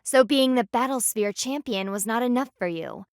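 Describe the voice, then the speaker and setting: A proud, stern panda guardian from a video game. Her voice sits fairly high and is recorded dry and close. She speaks formally and haughtily, clipping her words like a military commander. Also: animated, moderate pace, dry recording. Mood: cold, scornful.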